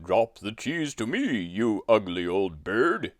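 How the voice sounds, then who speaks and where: Fable casting: large gruff bear played by a man, deep pitch, slow, blunt bargainer. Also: sing-song, no echo, clean studio sound. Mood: demanding. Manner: blunt, calling out.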